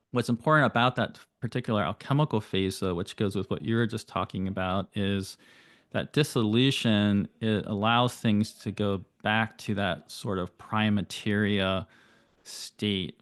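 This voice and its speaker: A man speaking as an astrologer guest on a podcast, giving thoughtful, flowing explanations with occasional rapid bursts. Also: lowish voice, a bit bright, no room sound, studio quality. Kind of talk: explanatory interview talk.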